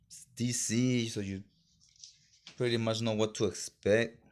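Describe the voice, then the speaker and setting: A man's voice: lowish voice, steady tone, unhurried, darkish tone. Laid-back talking man, casual vlog reviewer. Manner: slangy, informal fan talk. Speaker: comic book haul reviewer.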